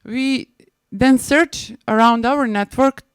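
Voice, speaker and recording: Clear-voiced Serbian-accented woman presenting, haltingly. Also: on the high side, no echo, clean studio sound. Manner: hesitant, halting, repeats and restarts phrases. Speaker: conference presenter, maritime spatial planner. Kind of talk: prepared conference presentation.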